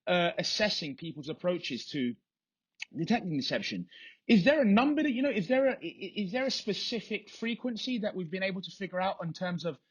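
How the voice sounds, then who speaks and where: A man's voice: high voice, big pitch swings, fairly quick, slightly rough. A man hosting a podcast interview, asking long, rambling questions with frequent restarts. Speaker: podcast host. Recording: dull, muffled lo-fi audio.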